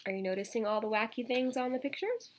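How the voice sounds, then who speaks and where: A woman teacher reading a picture book aloud to children, expressive and emphatic, with casual asides to her listeners. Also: a little high, fast talker.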